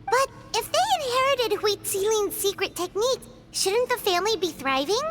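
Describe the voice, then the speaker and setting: A woman's voice: extremely high pitch, expressive, normal speed, noisy background. A woman voicing a tiny, cheerful, childlike fairy companion in a video game, with a bubbly, exclamatory delivery. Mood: curious. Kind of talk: video game character acting. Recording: background music behind the voice.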